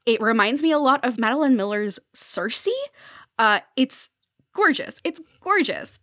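A woman's voice: a little high, animated, measured pace, fairly bright tone, no room sound, clean studio sound. A woman speaking conversationally as a podcast guest, explaining things in a thoughtful, articulate way.

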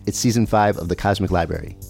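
A man's voice: sits low, quick pace, creaky, a little mellow, faint music behind. A man delivering scripted promotional narration for a podcast.

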